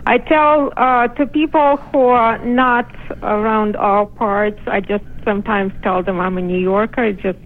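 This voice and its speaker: A woman's voice: medium pitch, steady tone, a little slow. A woman with a Russian accent, a guest author chatting casually in a remote radio interview. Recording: dull lo-fi remote line with noise.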